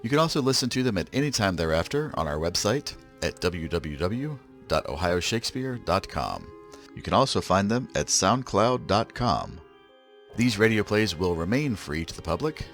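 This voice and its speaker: A man's voice: deep voice, big pitch swings, normal speed, a bit bright, faint music behind. A man reading scripted announcements in the style of an old-time radio drama announcer.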